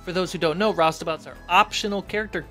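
A woman with a notably low voice hosts a casual interview. She talks in a conversational way, with faint music running behind her. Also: fast, bright, forceful tone. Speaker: host interviewing a player.

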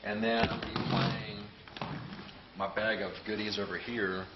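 A man's voice: on the low side, flat delivery, a little slow. A man in his thirties, a clarinetist, chatting playfully and loosely about his instruments in a large rehearsal room, picked up by a camera mic. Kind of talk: casual explanation of his instruments. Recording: dull lo-fi sound with noise.

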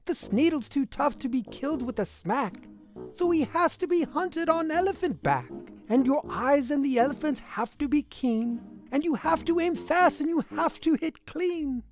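A woman's voice: high voice, expressive, moderate pace, a little mellow. A woman reading a rhyming children's picture book aloud in a playful, theatrical, sing-song way. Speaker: storybook reader. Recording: music behind the voice. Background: music.

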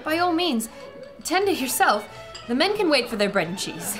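A woman acts out an inquisitive adventuring reporter in an audio serial. Her high voice moves animatedly as she narrates the action, bold and eager. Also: very animated, moderate pace, a bit bright, faint music behind. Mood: suspicious, curious.

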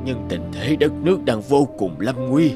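A man voicing an aging, fatherly emperor burdened by war, halting and lamenting, in a cartoon folk tale. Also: medium pitch, expressive, loud music behind the voice, lightly acted. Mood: distressed, resolute.

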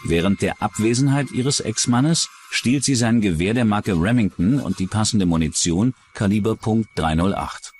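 A man narrating a scripted true-crime documentary in a measured, dramatic storytelling manner. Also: fairly low pitch, darkish tone, a little hiss or hum.

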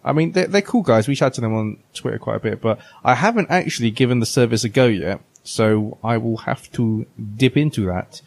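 A man's voice: mid-range voice, lively intonation, fairly quick, creaky, hardly any pauses, no room sound. A man chatting casually as a podcast co-host. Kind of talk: casual chat.

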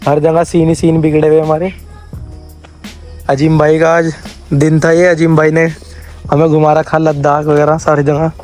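A man's voice: a little high, monotone, normal speed, long pauses, darkish tone, faint music behind. A North Indian man chatting casually as he hosts a travel vlog. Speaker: vlogger hosting an outing with friends.

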